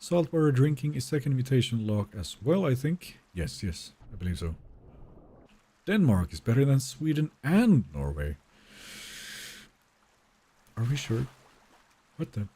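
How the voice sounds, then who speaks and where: Lively, husky-voiced man streaming a game. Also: medium pitch, a little slow, long pauses. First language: Finnish. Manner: casual, chatty, answers viewers' messages. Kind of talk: live gameplay stream, reading chat aloud.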